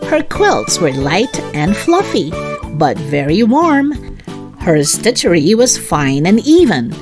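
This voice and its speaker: Woman reading slowly and animatedly over music. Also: on the low side. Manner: expressive, addressing young listeners. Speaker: storybook read-aloud host. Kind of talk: scripted children's story narration.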